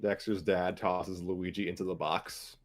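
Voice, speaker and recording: Low-voiced man narrating a comedy story. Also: level tone, measured pace. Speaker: narrator in amateur script read.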